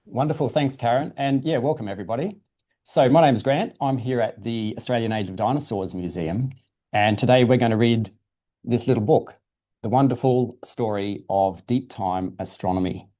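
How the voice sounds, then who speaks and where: A man's voice: medium pitch, moderate pace, warm tone. A man presenting for a museum, reading a children's science book aloud in a simple, explanatory storytelling way. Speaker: guest presenter from a dinosaur museum.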